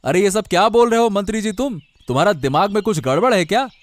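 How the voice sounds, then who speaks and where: Man as an indignant high-voiced young farmer. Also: fast, no room sound, lightly acted. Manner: scolding, earnest. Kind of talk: Hindi cartoon moral-story dialogue. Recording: music bed under the voice.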